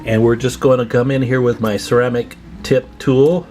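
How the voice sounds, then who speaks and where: Male tutorial presenter, mid-pitched, plain-spoken and informal, talking viewers through hands-on work over music. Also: flat delivery, moderate pace, a little mellow. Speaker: radio repair tutorial presenter. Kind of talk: hands-on casual tutorial narration.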